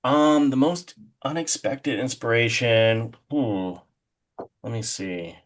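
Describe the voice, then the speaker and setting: A man's voice: mid-range voice, takes it slowly. A man chatting casually as an interview guest, rambling and enthusiastic, often hesitating as he works out his thought. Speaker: guest, horror artist being interviewed.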